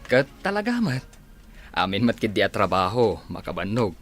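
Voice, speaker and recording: A man's voice: lowish voice, big pitch swings, moderate pace, soft background music. A man acting a blunt, easygoing village worker in a radio drama, speaking with an Ilocano accent. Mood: chatty, easygoing.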